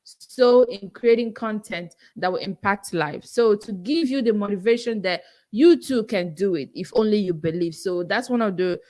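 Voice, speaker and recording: A woman's voice: mid-range voice, moderate pace, few pauses, warm tone. Podcast host, young woman, heavy Nigerian accent, earnest solo Q&A with lots of "um" and "you know.". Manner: earnest, self-encouraging, frequent fillers. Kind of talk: solo livestream Q&A.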